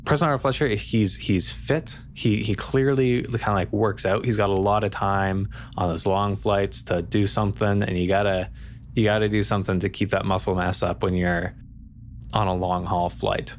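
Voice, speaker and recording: Guy running an rpg, kinda low voice, chatty, making it up as he goes. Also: steady tone, moderate pace, slight background noise. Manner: conversational, thinking aloud. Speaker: game master. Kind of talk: casual improvised narration of a roleplaying game.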